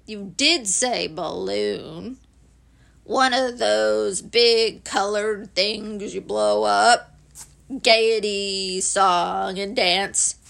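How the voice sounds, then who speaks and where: A woman voicing a gloomy, doubting old male donkey in a slow, mournful, deadpan manner. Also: medium pitch, vocal fry, pitched below the performer's own voice, exaggerated voice.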